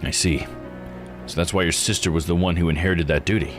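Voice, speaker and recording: Man acting investigator, low voice, courteous, probing, visual novel, music underneath. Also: steady tone, fast, close to an everyday voice. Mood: courteous, attentive. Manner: courteous, inquisitive. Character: polite, curious investigator probing an old mystery. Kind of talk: reading visual novel dialogue aloud. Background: game music.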